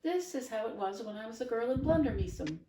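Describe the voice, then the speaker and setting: A woman voicing a warm, dreamy older neighbor who speaks in a lilting, emphatic way, read aloud in a roomy space from a distance. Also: a little high, animated, a little fast, voice pushed up, lightly acted. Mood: fond. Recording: roomy, distant mic, faint hiss.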